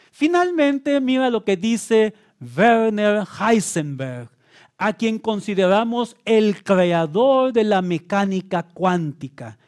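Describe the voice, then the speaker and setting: A man presenting a scripted religious teaching monologue, speaking in an emphatic, deliberate way with rhetorical pauses. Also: sits high, darkish tone.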